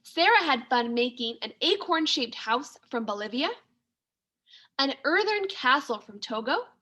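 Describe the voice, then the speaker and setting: A woman teacher reading a children's story aloud in an expressive, clearly paced manner. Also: high-pitched, unhurried. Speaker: second grade teacher.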